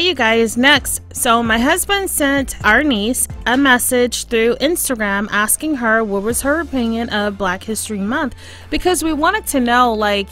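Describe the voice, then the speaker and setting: A woman's voice: on the high side, fairly bright tone. American woman hosting a casual podcast. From United States. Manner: conversational, addresses listeners directly. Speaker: podcast host. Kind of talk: casual podcast talk, personal opinion.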